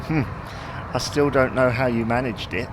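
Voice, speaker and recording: An actor playing a grumbling, long-suffering British husband who can't abide gadgets, in a domestic comedy audio drama. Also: male voice, medium pitch, steady tone, moderate pace, noisy background, natural voice. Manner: grumbling, placating.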